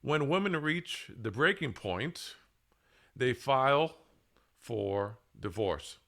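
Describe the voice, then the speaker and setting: A man presenting a scripted monologue in a deliberate, halting way, with emphatic pauses between short phrases. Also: medium pitch, big pitch swings, vocal fry, sharp tone. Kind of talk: scripted monologue, public speaking.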